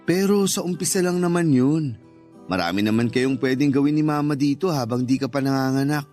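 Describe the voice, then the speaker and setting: A man's voice: medium pitch, brisk, a little mellow, lightly acted. A man playing an earnest, protective young husband and dutiful son in a radio drama. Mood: earnest, persuasive. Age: young adult. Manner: earnest, persuasive. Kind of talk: Tagalog radio drama acting. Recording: music bed under the voice.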